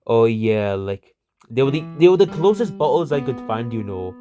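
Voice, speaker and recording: A man plays a bickering cartoon buddy with a mid-pitched voice. The talk rambles and repeats itself casually, like squabbling friends trading old stories. Music plays clearly behind it. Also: very animated, normal speed, lightly acted. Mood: bickering, recounting. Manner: rambling, repetitive, conversational. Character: bickering, sweary cartoon buddy reminiscing about mishaps. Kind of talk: casual improvised-sounding cartoon dialogue.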